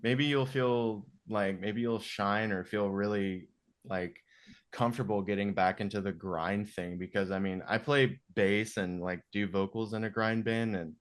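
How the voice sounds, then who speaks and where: Relaxed, low-voiced man. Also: unhurried. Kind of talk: casual podcast chat.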